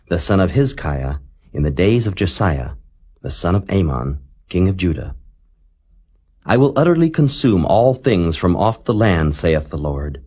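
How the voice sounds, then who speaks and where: A man giving a solemn, measured, declamatory scripture reading as a Bible narrator. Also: low voice, a little mellow.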